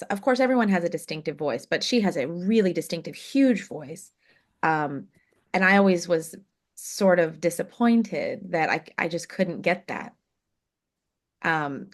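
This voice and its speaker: A woman in her thirties with a somewhat low speaking voice. She talks casually and chattily as an interview guest, with frequent fillers and light laughter. Her telling is relaxed and full of small asides. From Oklahoma, USA. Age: 30s. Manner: chatty, warm anecdotes with many fillers and laughs. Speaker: jazz singer guest.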